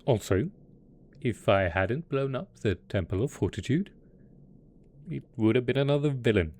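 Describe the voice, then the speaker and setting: A man's voice: medium pitch, animated, normal speed, a little mellow, natural voice. A man voicing a melodramatic, possessive mad scientist supervillain boss in a roleplay audio drama, smug and coaxing in manner. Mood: indignant, defensive. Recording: faint background noise.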